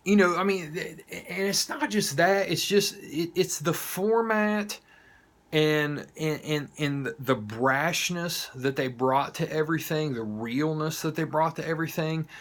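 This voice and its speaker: A man's voice: fairly high pitch, a little slow, fairly bright tone. A man with a Southern US accent gives a casual, heartfelt monologue to viewers in an informal, blunt manner. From United States, not from the South. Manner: informal, blunt, occasional swearing. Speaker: host of an online horror show.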